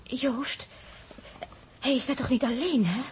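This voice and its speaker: A woman's voice: sits high, measured pace, slight background noise. An actress playing a frightened crew member in a 1960s radio drama, confiding her dread in an anxious manner. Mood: fearful.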